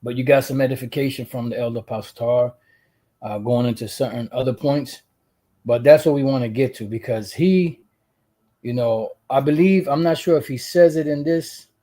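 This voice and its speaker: A man with a soft, dark-toned voice talks through scripture passages as a channel host. He rambles, circling back with asides and restarting his sentences as he reads out verses. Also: medium pitch, normal speed. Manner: rambling, full of "you know" asides and restarts. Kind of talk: casual religious commentary reading scripture.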